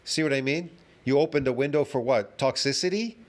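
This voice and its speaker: A man's voice: medium pitch, a little fast. A man giving a ranting fitness commentary, emphatic and repetitive, full of restarts and rhetorical questions.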